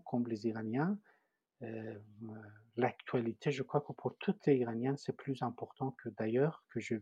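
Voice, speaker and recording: A man from Iran with a Persian accent, giving a personal testimony in an interview and often pausing to search for French words. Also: mid-range voice, darkish tone, slightly rough, no echo.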